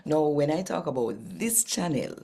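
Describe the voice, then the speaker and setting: A Jamaican woman with a husky, gravelly voice, hosting a scripture reading channel in a deliberate, emphatic manner. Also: low voice, big pitch swings, mellow. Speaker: host and scripture reader.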